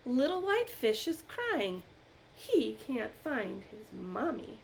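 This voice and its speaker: Woman, storytime librarian, very lively intonation, encouraging, talks to young children, noticeable background noise. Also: fairly high pitch, low-quality recording. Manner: encouraging, asks questions and pauses for answers. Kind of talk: interactive read-aloud and singing for young children.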